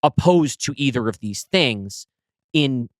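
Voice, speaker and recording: A man's voice: mid-range voice, expressive, slow pace, no room sound, clean studio sound. A married man co-hosting a casual podcast, thoughtfully explaining his creative choices with frequent restarts. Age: adult, married. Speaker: podcast co-host, writer of the story.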